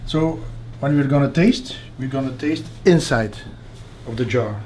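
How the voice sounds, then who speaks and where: A man's voice: mid-range voice, darkish tone. Middle-aged guy showing caviar tins, lots of uhs, a bit of hiss behind him. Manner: halting, many uh fillers and restarts. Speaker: caviar producer guide. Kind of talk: explaining on a guided tasting. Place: small tasting room indoors.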